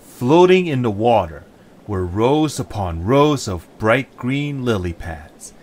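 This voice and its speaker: Male narrator, medium pitch, slow pace, scripted storytelling. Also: big pitch swings, darkish tone, slight background noise.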